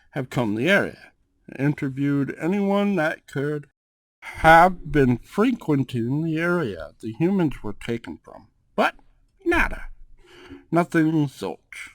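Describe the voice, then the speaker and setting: Male actor as suave cat-man gang boss, calm, protective, gravelly fry, swooping intonation, ASMR roleplay. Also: mid-range voice, no echo, exaggerated voice. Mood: pondering, concerned. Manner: measured, drawn-out delivery with questioning tags. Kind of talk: ASMR roleplay, acted monologue to one listener.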